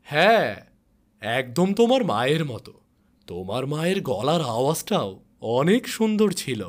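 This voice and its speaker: Man as a tender cartoon woodcutter dad, highish voice, lively swooping pitch, coaxing. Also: unhurried. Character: kindly woodcutter father, tender and curious. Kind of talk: animated moral story character acting.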